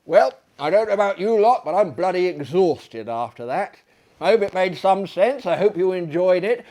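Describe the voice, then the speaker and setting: A man's voice: mid-range voice, a little mellow. A British man narrating a story theatrically, with self-mocking asides. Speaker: storyteller and performer.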